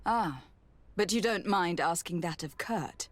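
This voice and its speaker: A woman playing a diplomatic young noblewoman in a video game, polite, formal and quietly assertive. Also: fairly low pitch, expressive, measured pace, no room sound. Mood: pointed. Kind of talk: video game cutscene acting.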